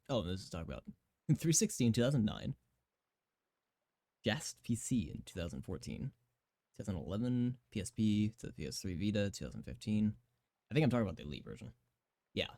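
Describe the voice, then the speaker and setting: Giggly raspy young man streaming a game. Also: fairly low pitch, darkish tone, dry recording. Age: 20s. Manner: giggly, rambling chatter full of fillers. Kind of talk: casual live game-stream commentary.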